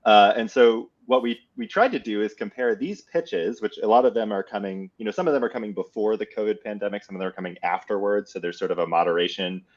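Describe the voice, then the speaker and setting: Male professor guest, lowish voice, measured conversational explainer, slightly muffled lo-fi recording. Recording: dull, lo-fi audio.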